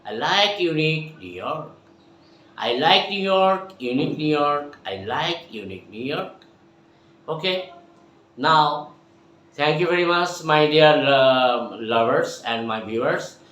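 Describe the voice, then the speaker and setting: A man from Bangladesh with a Bangladeshi English accent, teaching English by repeating tongue twisters slowly and emphatically. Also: a little high, lively intonation, a bit bright. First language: Bengali. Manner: repeats phrases slowly and emphatically, addresses viewers as my dear. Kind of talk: tongue twister drill lesson. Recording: echoey room, faint background noise.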